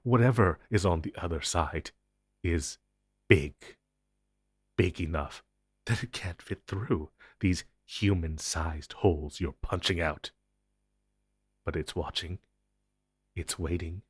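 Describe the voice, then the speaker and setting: A man playing an ancient, powerful supernatural being in an audio roleplay, speaking gravely and commandingly with dramatic pauses. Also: dry recording, studio quality, full character voice. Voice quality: low, husky. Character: ancient, powerful, possessive male supernatural being, grim secret-agency superior. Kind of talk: intense dramatic audio roleplay monologue addressed to listener.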